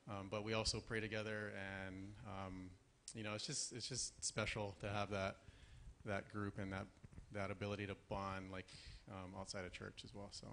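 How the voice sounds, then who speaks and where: A man's voice: fairly low pitch, level tone, moderate pace, a bit bright, slight background noise. A man sharing hesitantly with his church, speaking with many fillers. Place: church sanctuary.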